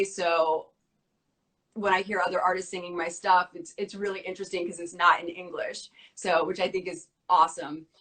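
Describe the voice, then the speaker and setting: A young adult woman talking in a casual interview, chatty and quick with lots of filler words, her voice worn from losing it. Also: fairly low pitch, a bit bright, poor audio. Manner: fast, bubbly talk with many "like" fillers. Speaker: interview guest.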